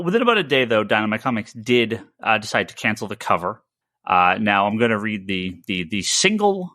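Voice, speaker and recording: A man giving casual podcast commentary as host, talking haltingly and often repeating words as he goes. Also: fairly low pitch, sharp tone, dry recording, studio quality. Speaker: podcast host and comic shop owner.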